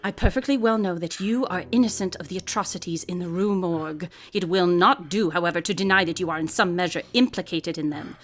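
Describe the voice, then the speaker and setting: A woman playing a composed, shrewd lady detective in a radio drama, speaking formally with measured authority. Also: on the low side, faint music behind, close to an everyday voice. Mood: reassuring, firm. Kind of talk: live radio drama acting.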